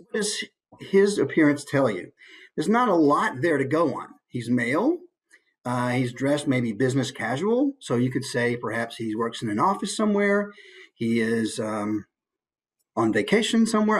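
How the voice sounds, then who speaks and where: An American man, a voice actor, giving an informal instructional talk and musing aloud through examples. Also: medium pitch.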